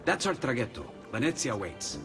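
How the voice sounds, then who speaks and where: An actor with an Italian accent playing a gentle, anxious Renaissance man in a video game cutscene. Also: mid-range voice, sing-song, moderate pace, over music. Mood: calm, wistful.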